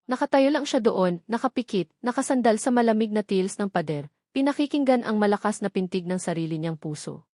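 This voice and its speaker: Filipino lady reading a drama audiobook, deliberate n emphatic, medium pitch, really dry close sound. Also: lively intonation, a little mellow, no echo. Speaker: audiobook narrator. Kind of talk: scripted dramatic story narration.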